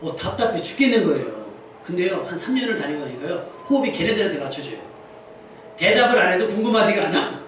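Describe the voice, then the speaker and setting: A high male voice rings through a heavily echoing church, caught at a distance from the mic. He is a pastor delivering a sermon, asking the congregation questions and repeating key phrases to drive them home. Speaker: pastor preaching. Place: indoor church service. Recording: very echoey church hall, distant mic, faint noise.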